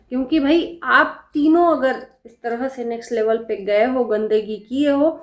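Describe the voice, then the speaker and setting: Woman, higher-pitched voice, casual opinionated reaction commentary, pointed repetitive phrasing, echoey room recording. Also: darkish tone. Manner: pointed, rhetorical questions and repeated phrases. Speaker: reaction video host.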